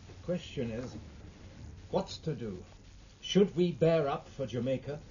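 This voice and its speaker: An actor playing an anxious, testy British naval captain in a 1950s radio drama, speaking in a formal, challenging manner. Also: male voice, mid-range voice, lively intonation, unhurried, mellow, natural voice. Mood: worried, probing. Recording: muffled, noisy vintage radio recording.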